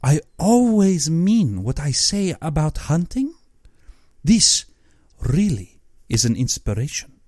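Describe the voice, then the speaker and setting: A man's voice: a little high, big pitch swings, slow, a little mellow, no room sound, studio quality, voice pushed up, heavily acted. A man voicing an urbane, aristocratic general in an audiobook, a courtly and theatrical villain who savors his words with sinister glee. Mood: gleeful, sinister. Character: urbane, cultured aristocratic general, gleefully sinister big-game hunter.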